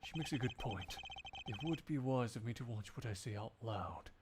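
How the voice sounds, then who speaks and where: A man's voice: mid-range voice, expressive, normal speed, noisy background, voice pushed down, close to an everyday voice. A man voicing a stern, proper, easily exasperated prosecutor in a live game fandub, speaking formally and precisely. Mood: dry, exasperated.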